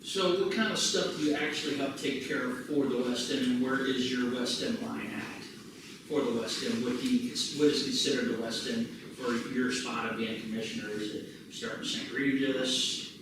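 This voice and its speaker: A man's voice: medium pitch, fairly even intonation, moderate pace. A man at a community meeting asking a candidate rambling questions. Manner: rambling, restarts his questions. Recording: echoey room, distant mic, background noise.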